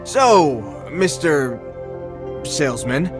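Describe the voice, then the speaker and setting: A man's voice: on the high side, sing-song, drawn-out words, over music, heavily acted. A man acting a nervous, deferential young bandit in a video game, hesitant and placating. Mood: nervous, apologetic.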